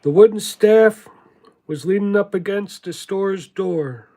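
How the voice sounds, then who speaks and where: A man giving a contemplative spiritual monologue in a halting, deliberate way, repeating words for emphasis. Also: high voice, dark tone. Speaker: spiritual teacher reading from own writings.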